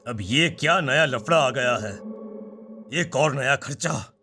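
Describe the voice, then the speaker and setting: A man playing an irritable, dismissive father, curt and scolding in an animated story with music behind. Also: medium pitch, slow pace, slight background noise. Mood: annoyed.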